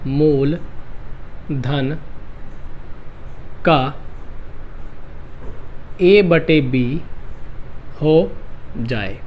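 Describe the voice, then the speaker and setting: A man teaching an exam-prep math lesson, with a slightly raised voice and a sore throat. He walks through each step slowly and answers his own questions. Faint noise hums under the recording. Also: on the high side, darkish tone. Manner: repeats key steps, asks and answers his own questions. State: sore throat, clears throat and coughs.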